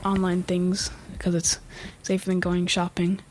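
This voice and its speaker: A teenage boy chats on his home podcast, his voice scratchy and rough as if he has just woken up. He speaks haltingly, filling pauses with "uh" and "like" and often clearing his throat. A faint background hiss sits under his voice. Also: high-pitched, completely flat delivery, darkish tone. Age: teens. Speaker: podcast co-host. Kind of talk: casual podcast chat. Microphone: home podcast microphone with mixer.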